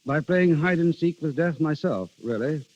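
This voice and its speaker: A man speaking as a guest writer in a scripted 1930s radio interview, formal and measured. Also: on the high side, expressive, a little mellow. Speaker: guest playwright. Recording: muffled 1930s radio broadcast.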